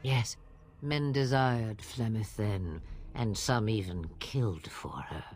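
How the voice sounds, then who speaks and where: A woman's voice: a bass voice, slow, a little mellow, a little hiss or hum. An actress playing a sardonic, weary and imperious old witch of the wilds in a fantasy game, with an aged, theatrical delivery. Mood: wistful. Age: older. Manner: theatrical, wry, dismissive. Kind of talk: fantasy video game voice acting.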